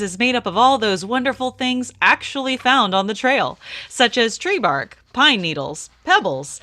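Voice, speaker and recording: A woman's voice: mid-range voice, sharp tone, no room sound. A woman playing a polished, upbeat 1950s radio announcer with a salesy delivery.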